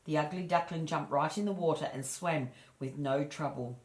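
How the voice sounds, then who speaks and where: Low-voiced woman storyteller in an echoey room. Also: normal speed. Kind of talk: reading a children's fairy tale aloud.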